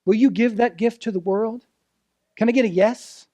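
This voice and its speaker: A man with a high-pitched voice preaches in a close, dry sound. His delivery is earnest and deliberate, shaped as a sermon with rhetorical questions and dramatic phrasing. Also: warm tone, no echo. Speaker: preacher, ministerial intern. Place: church service, speaking to a congregation.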